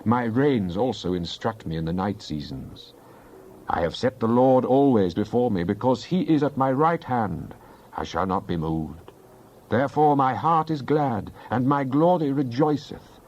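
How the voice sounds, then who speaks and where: A man playing a devout psalmist in a dramatized Bible reading, praying in an earnest, deliberate, declamatory way. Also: medium pitch, expressive, a little mellow, lo-fi sound. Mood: devout, trusting. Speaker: scripture reader.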